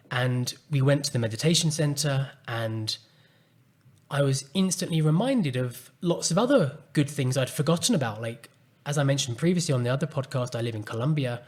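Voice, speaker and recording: A man hosting a solo podcast, speaking calmly and earnestly as he reflects on a personal story. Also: mid-range voice. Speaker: podcast host and coach.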